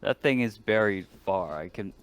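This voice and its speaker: A man's voice: on the low side, lively intonation, moderate pace, smooth. A man playing a tabletop game, musing aloud in casual, colloquial and sometimes sweary talk.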